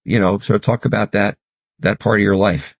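A man hosting an interview, speaking in a relaxed, conversational way and asking questions. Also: fairly low pitch, moderate pace, creaky, husky, no room sound. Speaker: host.

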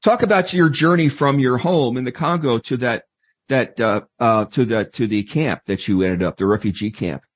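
A man's voice: medium pitch, normal speed, breathy edge. A man co-hosting an interview show, asking questions in a stumbling way, often restarting his sentences. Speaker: co-host.

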